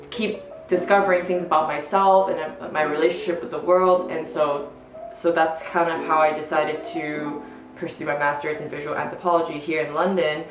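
A young woman in her twenties chats in a loose, rambling way, full of fillers. Her voice sits a bit low. The camera mic is far across an echoey living room, with music playing behind. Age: 20s. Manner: chatty, rambling with many fillers and laughs. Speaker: co-host. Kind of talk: casual chat.

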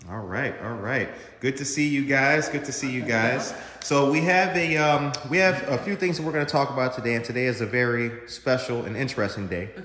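A man's voice: medium pitch, fairly quick, a bit bright. A man hosting a casual morning show, interviewing guests in a large indoor room. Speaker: host. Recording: echoey reverberant room.

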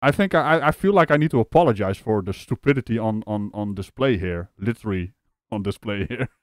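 A man from the Netherlands hosting a live stream, reacting to a clip with animated, mocking commentary and frequent laughs. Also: fairly low pitch, brisk, no echo, clean studio sound. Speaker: streamer host.

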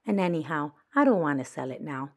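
A woman's voice: deep voice, expressive, a little fast. A woman voicing a sly, bossy young girl badger in a picture book, persuasive and coyly evasive. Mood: coy bargaining. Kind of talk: children's picture book read-aloud.

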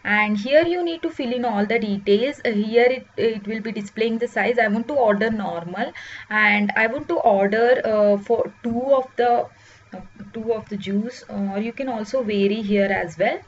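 A woman presenting a food ordering web app, explaining each step with many "uh" pauses. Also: on the high side. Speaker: software demo presenter. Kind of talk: screen-recorded product walkthrough. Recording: dull lo-fi voice-over with faint hiss.

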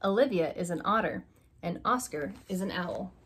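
A young woman reading a children's alphabet picture book aloud, slow and deliberate, stressing each opening letter, in a small room. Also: fairly low pitch, animated, fairly bright tone, slightly rough. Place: small room indoors by a curtained window.

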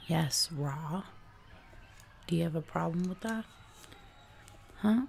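A hungry giantess of the jungle voiced by a young woman murmurs and whispers slowly right up at the microphone. Her voice is lowish and gravelly, with casual menace in a soft ASMR roleplay. Noticeable noise and clicks sit behind it. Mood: calm menace. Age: young adult. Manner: slow, breathy whispering mixed with soft murmurs. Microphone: close microphone in front of mouth.

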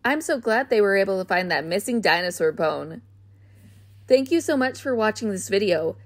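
A woman reading a children's picture book aloud in a gentle, expressive, child-friendly way. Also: mid-range voice, moderate pace, no room sound. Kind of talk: storybook read-aloud.

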